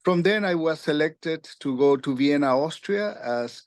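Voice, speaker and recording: Older Peruvian man, halting and dry. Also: a little high, animated, dry recording. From Peru. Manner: halting, many fillers and restarts. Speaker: guest, retired UN official. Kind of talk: conversational interview.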